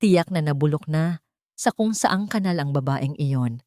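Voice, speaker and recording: A woman's voice: sits low, animated, a little slow, a little mellow, no room sound, studio quality. A woman voicing a scheming, gloating young mistress in an audiobook drama, with a smug manner.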